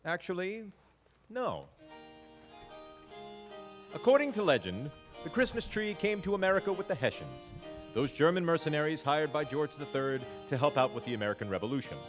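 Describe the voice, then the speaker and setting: Genial theatrical dad, animated, echoing hall. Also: male voice, fairly high pitch, moderate pace, long pauses, over music. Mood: storytelling. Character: genial, fumbling storytelling father at Christmas. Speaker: father and narrator. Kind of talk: live stage audio drama with narration. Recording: live hall recording with long reverb.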